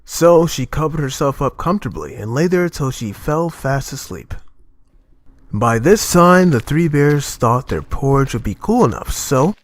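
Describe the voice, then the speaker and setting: A man narrating a children's tale as a storyteller, reading expressively in a measured manner. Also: medium pitch, sing-song, no room sound.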